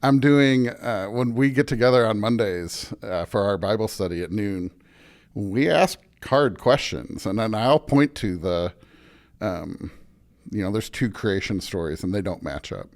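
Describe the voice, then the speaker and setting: A man's voice: on the low side, animated, measured pace. An American man, a pastor being interviewed, talking casually and thoughtfully as he searches for words. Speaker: pastor, podcast guest.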